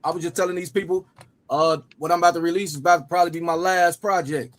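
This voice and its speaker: A man's voice: a little high, steady tone, quick pace, fairly bright tone. A man, hosting a loose, rambling casual chat and often repeating himself. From Cincinnati area. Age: 40s. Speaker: rapper and host.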